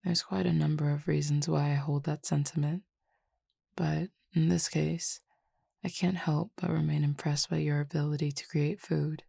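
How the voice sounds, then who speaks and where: A languid cupid who feeds on desire, voiced by a woman in an audio roleplay, speaking to the listener in a deep, husky, gravelly murmur. The delivery stays formal and measured, barely rising or falling, with a quiet teasing edge. It is recorded close and dry, with no room sound. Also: level tone, no room sound, exaggerated voice.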